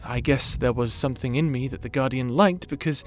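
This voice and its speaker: A man's voice: mid-range voice, fairly quick, soft background music, natural voice. A man playing a timid, awkward young wizard in a fantasy audio roleplay, earnest and apologetic, with a hesitant, stammering delivery. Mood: earnest, pleading.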